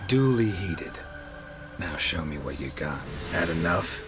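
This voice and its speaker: Stoic game monster hunter: very low gravelly voice, terse and dry, music underneath. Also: male voice, measured pace. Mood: cold, curt. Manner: terse, deadpan. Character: stoic middle-aged male monster hunter, dry and blunt. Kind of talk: video game voice acting.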